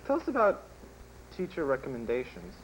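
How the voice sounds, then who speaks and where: A man's voice: mid-range voice, wildly swooping pitch, a little fast, a little hiss or hum, rough recording. Rambling teenage boy interviewer. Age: teens. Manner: rambling, full of "like" and "um". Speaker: student interviewer. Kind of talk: improvised school TV sketch.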